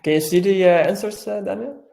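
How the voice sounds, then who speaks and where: A man co-hosting a webinar, introducing the guest in a halting, repetitive way. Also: high-pitched, gravelly, darkish tone.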